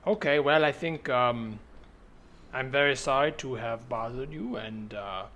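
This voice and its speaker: A man's voice: medium pitch, measured pace, fairly bright tone, dull audio, close to an everyday voice. A man voicing a self-important female robot assassin from the future in improvised comedy, stilted, grandiose and easily exasperated. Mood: grandiose, flustered. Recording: noisy track with ambient soundscape.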